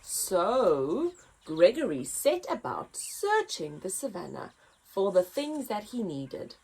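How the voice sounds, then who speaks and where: Very animated, slow-paced woman storyteller. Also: medium pitch, slightly rough, low-quality recording. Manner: expressive, dramatic emphasis. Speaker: children's story time host. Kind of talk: read-aloud storytelling for young children.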